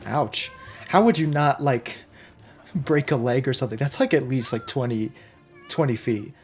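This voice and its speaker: A hoarse young man in his twenties hosting a casual film reaction, chatty and excitable, with many fillers. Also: medium pitch, normal speed, soft background music. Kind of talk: casual movie reaction commentary.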